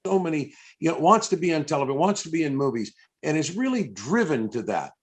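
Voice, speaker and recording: A man with a somewhat high-pitched voice interviews someone over a video call. He speaks conversationally and builds long questions, often halting and circling back as he thinks aloud. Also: sing-song. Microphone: video call microphone.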